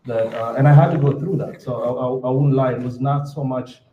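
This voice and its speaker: A man's voice: mid-range voice, fairly quick, slight background noise. A man from Kenya with a Kenyan accent, answering earnestly as a panelist and often repeating words as he builds a sentence. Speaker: panelist entrepreneur. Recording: roomy, slightly dull hall sound.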